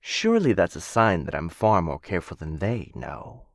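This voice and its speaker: A man's voice: sits low, very animated, moderate pace, vocal fry, no room sound, clean studio sound, heavily acted. A man playing a smug, menacing, possessive dragon captor in a fantasy ASMR roleplay, drawling condescendingly and theatrically. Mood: self-satisfied, coaxing.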